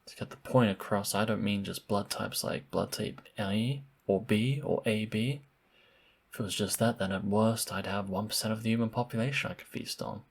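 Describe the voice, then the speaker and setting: A man acts out an obsessive vampire in an intimate ASMR roleplay. His voice sits low, with a raspy, breathy grain and heavy creak. He speaks with deliberate, insinuating politeness, and menace and possessiveness lie under the courtesy. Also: steady tone. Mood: confiding, intent. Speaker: voice actor in audio roleplay.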